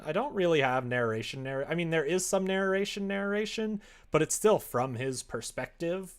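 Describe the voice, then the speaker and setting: A man chatting casually on a livestream as he works at a computer, thinking out loud and joking as he goes. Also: fairly high pitch, animated, normal speed, clean tone. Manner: musing aloud, joking, reads chat and drafts aloud. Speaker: streamer writing a visual novel. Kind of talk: casual livestream chat while writing. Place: indoors at a computer desk.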